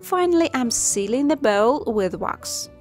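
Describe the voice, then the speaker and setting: A woman with a somewhat high-pitched voice explains a home craft project in a calm, instructive voice-over. Soft music plays faintly underneath. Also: measured pace. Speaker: craft channel host. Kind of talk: step-by-step voice-over narration. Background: soft background music.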